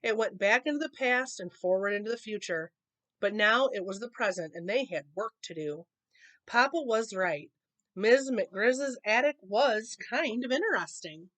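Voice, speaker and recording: A woman reading a children's picture book aloud in an expressive storytelling manner. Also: mid-range voice, moderate pace, dry recording. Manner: expressive reading, acting out dialogue.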